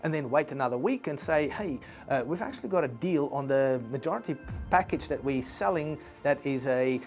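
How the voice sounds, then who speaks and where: A man whose first language is Afrikaans talks viewers through business tips in an earnest, conversational way. His voice sits at an ordinary middle pitch. Faint music plays beneath him. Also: expressive, a little fast, darkish tone. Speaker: business presenter. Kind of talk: instructional video talk.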